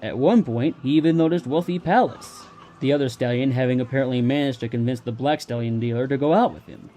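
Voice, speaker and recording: A man's voice: medium pitch, quick pace, dark tone, faint music behind. A man reading a scripted story as its narrator.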